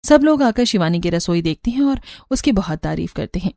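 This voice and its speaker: A woman's voice: on the low side, animated, fairly quick, vocal fry, warm tone, no echo. A woman narrator reading a scripted story for a cartoon.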